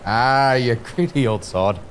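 A man's voice: mid-range voice, slow, clean tone, lightly acted. A man playing a hearty, food-loving, teasing sailor who talks colloquially in a comic audio drama. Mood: teasing, exasperated. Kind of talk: gothic comedy audio drama dialogue.

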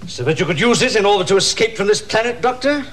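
A man's voice: high-pitched, lively intonation, fast, fairly bright tone. An actor playing a suave imprisoned villain in a 1970s television drama, silky and mock-polite as he feigns remorse. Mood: sly. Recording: noisy old television soundtrack.

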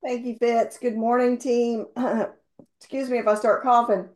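Middle-aged woman, slightly hoarse, earnest rambling motivational team-call speaker. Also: on the high side, little pitch movement, measured pace, darkish tone. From based in Houston, Texas. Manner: earnest, rambling, with many ums and restarts. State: slightly losing her voice. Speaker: insurance agency owner sharing sales tips.

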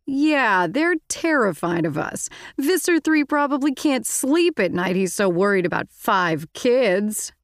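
Woman doing a high sarcastic teen boy. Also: sing-song, measured pace, dry recording, studio quality. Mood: mocking. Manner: sarcastic, mocking. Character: sarcastic wisecracking teenage boy.

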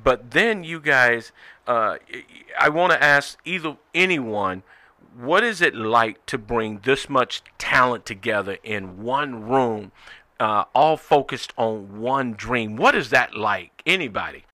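A man hosting a talk show in an informal, conversational way, often halting and repeating his words. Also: mid-range voice, animated, sharp tone.

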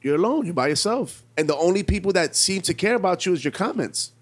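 A man's voice: high voice, animated, fast, husky. A man in his late thirties talking bluntly in a casual podcast chat, swearing freely and hammering his points home. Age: 30s. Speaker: podcast co-host.

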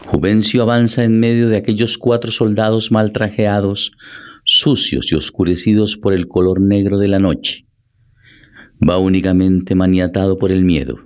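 A man narrating a literary drama in a solemn, deliberate way, with music behind his voice. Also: low voice, darkish tone.

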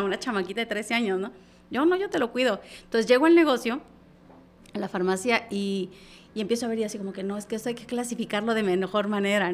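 A woman, a business consultant guest telling stories in a lively, chatty way with frequent tag questions. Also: fairly high pitch. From Mexico. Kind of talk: casual interview storytelling.

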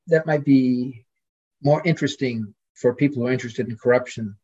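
A man speaking as a scholarly podcast guest in casual discussion, halting as he searches for names and words. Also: medium pitch, a little mellow, breathy edge, dull audio.

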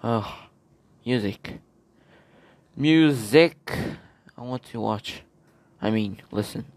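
Man host, goofy, rambling solo podcast talk, slow pace, gravelly tone. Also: on the low side, no room sound. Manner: playful, goofy, jumps between topics.

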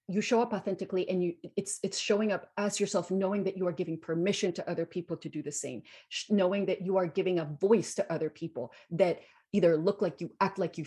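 A woman's voice: fairly low pitch, a little mellow, breathy edge. A woman in her forties from Guatemala talking reflectively in an interview, emphatic and repeating phrases to drive points home. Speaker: interview guest. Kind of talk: reflective personal interview talk.

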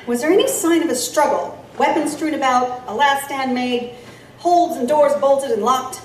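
Intrepid Victorian-era newswoman, acted by a woman, brisk and probing, for audio drama; roomy, distant sound. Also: high-pitched, lightly acted. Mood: probing, incredulous. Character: intrepid, inquisitive woman newspaper reporter in steampunk 1889. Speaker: lead actor and narrator. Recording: roomy, distant, faint background noise.

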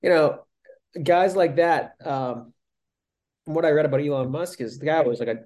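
A man's voice: on the high side, normal speed, darkish tone. Rambling young man telling stories. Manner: rambling storyteller, many "I was like" restarts. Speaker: podcast co-host. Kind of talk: casual chat.

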